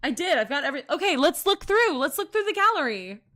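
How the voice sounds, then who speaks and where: A woman streamer reading a visual novel aloud and commenting on it, excitable and gushing, often giggling. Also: high voice, fast. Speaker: VTuber streamer.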